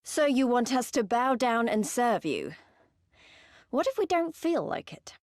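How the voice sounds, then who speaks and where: A woman's voice: on the high side, very animated, unhurried, a little mellow, dry recording, clean studio sound. An actress playing a blunt, defiant young woman warrior in a video game. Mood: defiant, indignant. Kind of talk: video game cutscene acting.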